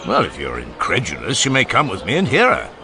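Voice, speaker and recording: A grave, eloquent old philosopher declaims a solemn funeral-style oration in a dramatized audiobook, voiced by a man. His voice is low, and it rises and falls expressively through the long sentences. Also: huge pitch swings, brisk, noisy background. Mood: wry. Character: older male philosopher, grave, eloquent orator. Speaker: Socrates.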